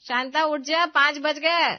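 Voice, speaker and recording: Harsh, commanding older mother-in-law played by a woman for a family story; high voice, dry lo-fi sound. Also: steady tone, a little slow, no room sound. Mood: scolding, bossy. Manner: scolding, commanding. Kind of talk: Hindi family drama storytelling.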